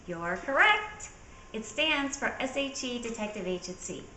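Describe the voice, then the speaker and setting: A woman with a bright, crisp-edged voice talks casually to viewers as an author presenting trivia. The room is echoey, and there is faint noise behind her. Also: fairly high pitch, sing-song, a little slow. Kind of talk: casual talk to camera.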